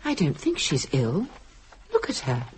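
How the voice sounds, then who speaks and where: A rational British woman in a radio play, brisk and drily sardonic. She speaks with commanding good sense and lively pitch movement, with faint noise behind her. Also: exaggerated voice. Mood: calm, concerned. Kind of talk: radio drama acting.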